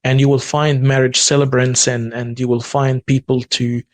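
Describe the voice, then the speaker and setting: A man's voice: medium pitch, little pitch movement, moderate pace. A man from Egypt with an Egyptian accent, a native Arabic speaker, talking as an interview guest with frequent restarts. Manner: frequent restarts and repeated words, earnest. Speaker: guest, human rights activist. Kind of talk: interview conversation.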